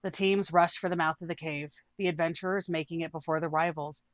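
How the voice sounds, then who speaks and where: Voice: mid-range voice, steady tone, brisk, no echo. A person reading a scripted fantasy adventure story aloud as its narrator. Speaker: storyteller, tabletop campaign player.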